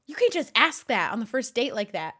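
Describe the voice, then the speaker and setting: A woman's voice: a little high, fairly quick. A woman streamer giving casual reaction commentary, laughing often as she talks. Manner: giggly, animated, talks to a pet.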